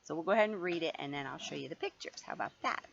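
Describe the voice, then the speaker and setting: A mature woman reading a picture book aloud to a child in an animated, playful way, with chatty asides. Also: sits low, very fast, gravelly. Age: middle-aged or older. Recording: dull lo-fi home recording, faint noise.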